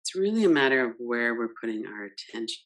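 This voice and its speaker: Gentle woman guiding a meditation. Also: extremely low pitch, sing-song. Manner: gentle, unhurried, guiding with soft questions. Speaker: meditation teacher. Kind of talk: guided yoga nidra meditation.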